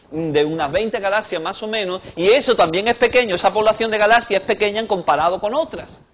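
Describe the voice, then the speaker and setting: A man with a Cuban accent preaching a sermon in a conversational way that rises in force on key points. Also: high-pitched. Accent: Cuban Spanish. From Cuba. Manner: conversational preaching, swelling louder on big points.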